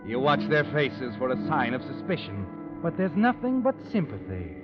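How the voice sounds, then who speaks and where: An actor playing a sinister, all-knowing narrator in an old radio noir drama, ominous and insinuating. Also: male voice, medium pitch, huge pitch swings, moderate pace. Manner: ominous, insinuating second-person storytelling. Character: sinister all-knowing male narrator haunting a guilty man. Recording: dull old radio recording, music behind. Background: music.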